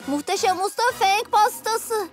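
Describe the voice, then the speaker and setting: A woman's voice: very high-pitched, measured pace. A woman voicing an eager, confident six-year-old boy cat superhero in a children's cartoon. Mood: confident, admiring. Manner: eager, emphatic. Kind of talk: animated children's cartoon dub. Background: music.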